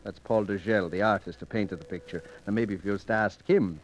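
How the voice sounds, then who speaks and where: A man's voice: lowish voice, brisk, natural voice. An actor playing a plain-spoken, folksy gallery doorman in 1940s radio drama style. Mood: wry, gossipy. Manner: folksy, matter-of-fact. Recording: noisy 1940s radio recording.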